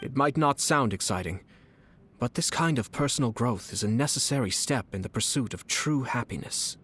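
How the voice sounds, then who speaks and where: An actor playing a refined, shrewd young clan lord in a video game, speaking in a formal, measured, courtly way. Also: male voice, mid-range voice, lively intonation, fairly bright tone, slightly rough. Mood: gracious, assured. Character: refined young nobleman, calm, shrewd clan head and commissioner. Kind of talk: scripted video game character dialogue. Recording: game music behind the voice.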